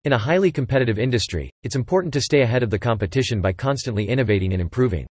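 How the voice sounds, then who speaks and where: A man reading English example sentences aloud for listening practice, in an even, clipped delivery. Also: medium pitch, racing, slightly rough, no room sound.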